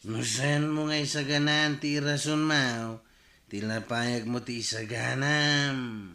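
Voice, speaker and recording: Male drinking buddy, teasing driver, Ilocano accent, forceful bright tone, radio comedy acting. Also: mid-range voice, fairly even intonation, takes it slowly. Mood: nagging. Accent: Ilocano Filipino.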